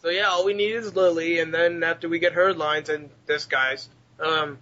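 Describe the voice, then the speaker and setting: High-pitched, bright-voiced man joking crudely. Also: steady tone, moderate pace. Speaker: podcast co-host. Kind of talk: casual group chat. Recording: muffled lo-fi audio, background noise.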